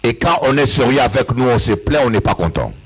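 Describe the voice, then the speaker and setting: Husky man preaching with lively swings. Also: medium pitch, a little fast. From Africa. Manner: impassioned preaching with sing-song rises and shouted peaks. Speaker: bishop and pastor. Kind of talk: sermon. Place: church service with an audience.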